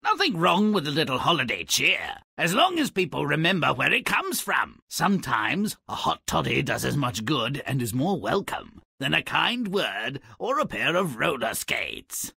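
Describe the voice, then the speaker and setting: A man playing a gleefully cynical, grubby little imp who narrates video game fairy tales in a raspy, mocking, hammy way. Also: a little high, very animated, moderate pace, few pauses, pushed and bright, no room sound, studio quality, heavily acted. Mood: sardonic narration. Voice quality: gravelly, raspy. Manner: sardonic, mocking, hammy delivery.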